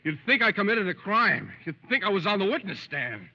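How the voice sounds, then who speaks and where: An actor playing a nervous, meek young lawyer and self-pitying husband in an old radio drama, hesitant and stammering. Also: male voice, sits high, big pitch swings, fairly quick, vocal fry, bright, forceful tone, heavily acted. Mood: sullen, drunk. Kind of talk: 1930s-40s radio acting. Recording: muffled vintage radio recording, echoey, faint hiss.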